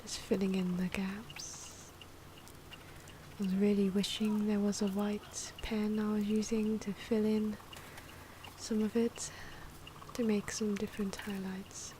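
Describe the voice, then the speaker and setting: A soft-spoken woman giving casual ASMR commentary on drawing, often slipping into whispers, in an unhurried, rambling and playful way. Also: mid-range voice. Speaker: host of an ASMR drawing channel. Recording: clear background noise from drawing sounds. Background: pen and marker scratching.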